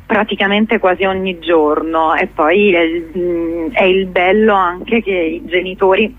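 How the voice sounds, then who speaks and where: Slow-paced woman on a phone-like line. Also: fairly low pitch, steady tone. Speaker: interview guest, theatre company director. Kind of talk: informal radio interview. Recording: roomy, tinny phone-like line on radio.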